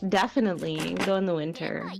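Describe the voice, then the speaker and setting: Woman in her thirties from Canada, fairly low voice, chatty and emphatic, co-host banter, faint game music behind. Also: a little fast, gravelly. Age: 30s. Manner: chatty, emphatic, frequent likes and restarts. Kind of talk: casual chat while gaming.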